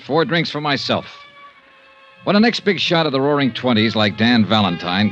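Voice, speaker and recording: A man's voice: on the low side, fairly bright tone. An American actor playing a blunt, world-weary male insurance investigator in a 1950s radio drama, both narrating and speaking in scenes. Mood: wry narration. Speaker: lead actor and narrator of a radio detective serial. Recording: dull band-limited 1950s radio recording.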